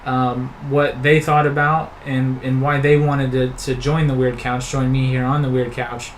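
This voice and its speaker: A man in his thirties hosts a relaxed conversation, drifting from point to point and tossing in teasing remarks. His voice sits at an ordinary, middling pitch. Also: moderate pace, a little hiss or hum, rough recording. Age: 30s. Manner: loose and rambling, with teasing asides. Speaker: host. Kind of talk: casual chat.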